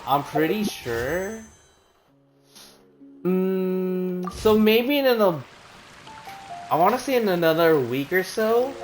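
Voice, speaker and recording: A man streaming a video game, reading menu text aloud and chatting casually with many fillers and restarts. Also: on the high side.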